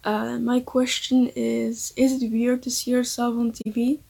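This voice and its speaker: A woman's voice: fairly high pitch, little pitch movement, unhurried, vocal fry, warm tone, breathy edge. A woman whose first language is Dutch, playfully asking a question in a self-recorded video message. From Belgium or the Netherlands. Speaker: viewer sending in a question.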